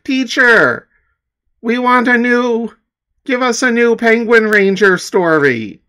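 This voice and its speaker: A man's voice: very high-pitched, slow pace, bright, forceful tone, clean tone, voice pushed up, exaggerated voice. A man voicing a whiny, too-cool middle school student in an exaggerated way while telling an anecdote. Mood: pleading.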